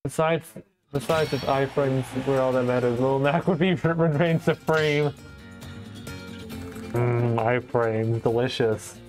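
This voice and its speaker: A man's voice: mid-range voice, measured pace, a little mellow. Teasing young man, slangy game banter. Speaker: esports caster. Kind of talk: casual game commentary chat. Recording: faint music behind.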